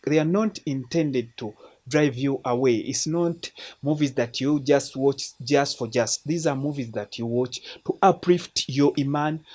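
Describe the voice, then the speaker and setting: A man's voice: medium pitch, a little slow, a little mellow. A man from Uganda, hosting a film recommendation show in an earnest, preacherly way, often restarting his words. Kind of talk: casual spoken film review.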